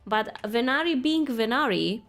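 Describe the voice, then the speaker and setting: A woman's voice: a little high, expressive, normal speed, fairly bright tone, faint music behind. A young woman streaming a game, chatting casually with her viewers, laughing easily and reading quest text aloud. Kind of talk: casual gaming commentary.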